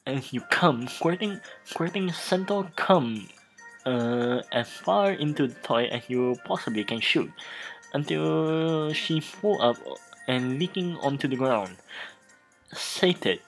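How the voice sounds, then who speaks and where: Game host, dramatic reading, slow pace, halting and repetitive delivery, music behind. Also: mid-range voice, rough recording. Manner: halting, stumbling over words and repeating phrases. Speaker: host of a gaming let's play.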